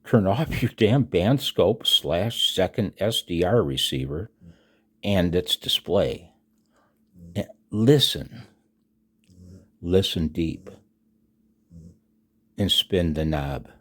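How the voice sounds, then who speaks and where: Older American male, 60s, deep and unhurried, reading a personal statement for a hobby vlog with emphatic, dramatic delivery. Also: expressive, fairly bright tone. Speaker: amateur radio vlogger. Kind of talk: reading own written announcement aloud with asides.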